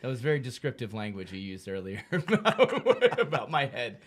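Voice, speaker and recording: A man's voice: medium pitch, big pitch swings, normal speed, slightly rough. An American man hosting a podcast with casual, joking banter and informal questions. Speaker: podcast host.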